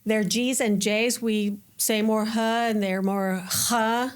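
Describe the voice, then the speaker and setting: A woman's voice: mid-range voice, slow, smooth. A woman from Colombia, a native Spanish speaker, with a Colombian accent, chatting as an interview guest and acting out quoted voices. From Colombia (Cali). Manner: animated, restarts and acts out quoted voices. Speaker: guest, educator. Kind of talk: casual interview chat.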